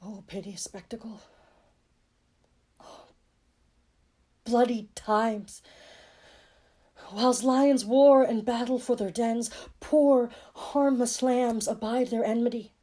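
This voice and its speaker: A woman playing a gentle, grieving king in a Shakespearean audio drama, lamenting in poetic exclamations. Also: on the high side, fairly even intonation, takes it slowly, long pauses. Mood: anguished grief. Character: gentle, sorrowful, weary medieval king.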